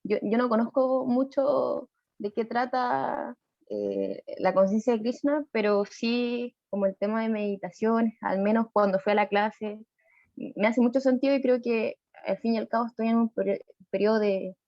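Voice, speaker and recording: A woman's voice: fairly high pitch, moderate pace, no echo, dull audio. A woman introducing herself on a video call, speaking hesitantly and restarting words. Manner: hesitant, soft-spoken, with restarts.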